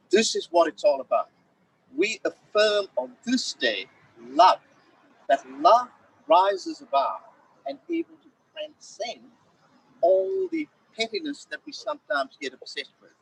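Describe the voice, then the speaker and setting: A man's voice: very high voice, creaky. A man giving a formal speech at an event, emphatic and rhetorical, often repeating his phrases. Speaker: speaker at a commemorative event. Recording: voice heard from a distance.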